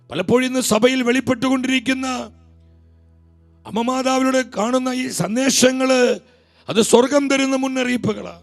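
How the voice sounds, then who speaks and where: A male preacher delivers an impassioned sermon in a high, gravelly voice. He swings between loud declaiming and hushed pleading, and faint music plays underneath.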